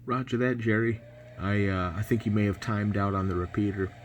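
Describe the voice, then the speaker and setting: A man's voice: fairly low pitch, a little fast, slight background noise. A man with an American accent chatting casually as an amateur radio operator. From United States.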